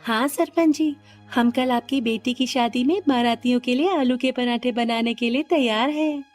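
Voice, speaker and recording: A woman acting an earnest high-voiced cartoon wife. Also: normal speed, soft background music, close to an everyday voice. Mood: respectful. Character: honest, hardworking wife of a food-cart seller. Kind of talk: Hindi cartoon story acting.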